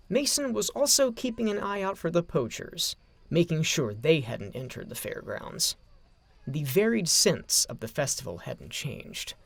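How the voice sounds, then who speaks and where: Story narrator, male: expressive delivery with vocal fry, very dry recording. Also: on the high side, no room sound.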